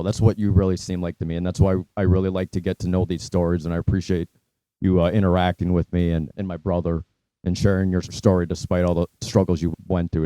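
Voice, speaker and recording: A man's voice: sits low, mellow, smooth, no echo, poor audio. A man hosting a video-call interview, speaking casually and effusively with repeated words and restarts. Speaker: interviewer, host.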